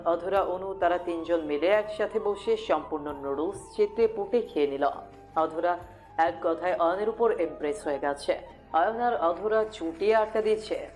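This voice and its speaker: Low-pitched woman narrating a romance. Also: a little mellow. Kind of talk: scripted romantic story narration. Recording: music bed behind voice.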